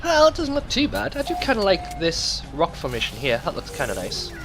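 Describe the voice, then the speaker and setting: Animated, sweary male gamer over music. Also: a little high, fairly quick. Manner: sweary, rambling, talks to himself. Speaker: let's play host. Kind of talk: casual video game commentary. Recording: music behind the voice. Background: game music.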